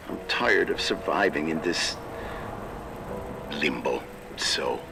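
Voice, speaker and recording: A man playing a weary, brooding man in a game cutscene, speaking poetically and deliberately over music. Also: sits low, creaky, full character voice. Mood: weary, resigned. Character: weary, tormented man, grieving philosophically in a farewell monologue. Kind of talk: dramatic game cutscene monologue. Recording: music behind the voice.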